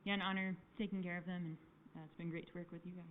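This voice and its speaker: A woman's voice: on the low side, level tone, racing. Agreeable female guest in informal chat, modest delivery, dull audio with faint background noise. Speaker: veterinarian guest. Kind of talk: casual live chat. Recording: noisy, muffled, off-mic sound.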